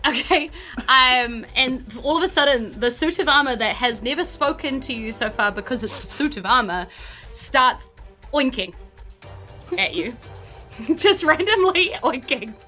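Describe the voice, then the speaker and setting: A woman game master with a New Zealand accent narrates a tabletop game among friends. Her voice sits fairly high and is notably bright. She is playful and pauses while working out the action, with faint music underneath. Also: lively intonation.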